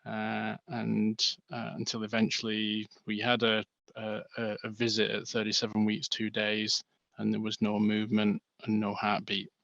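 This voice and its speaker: A man from Manchester, England with a Manchester accent, co-presenting a seminar in a halting way with many fillers and restarts, heard over a video call. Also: on the low side, monotone, vocal fry, a bit bright, no room sound, lo-fi sound. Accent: Manchester English.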